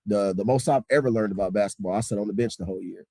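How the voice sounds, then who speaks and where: A man, a high school basketball coach, talking casually in an interview, emphatic and repeating points for stress. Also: very fast, dark tone, no echo. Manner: strict, old-school tone.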